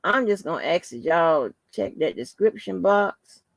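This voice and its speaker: A woman hosting a casual livestream, rambling informally to her viewers in a soft, calming voice. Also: on the low side, unhurried, vocal fry, no room sound. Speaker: livestream host.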